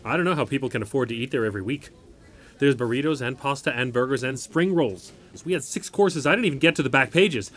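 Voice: medium pitch, fast, a bit bright, lightly acted. An actor in an audio comedy-drama playing an earnest, quirky, chatty neighbor who rambles naively and startles easily. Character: earnest, quirky, chatty neighbor accompanying an injured older woman.

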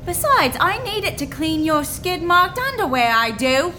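A woman playing a nagging peasant wife, shrill and scolding. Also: very animated, a little slow, bright, forceful tone, a little hiss or hum, full character voice.

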